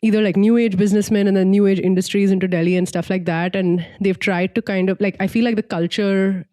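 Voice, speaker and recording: A woman's voice: mid-range voice, steady tone, fast, dark tone, no room sound. A young woman from Singapore chatting casually and reflectively as a podcast co-host, in an informal way peppered with "like".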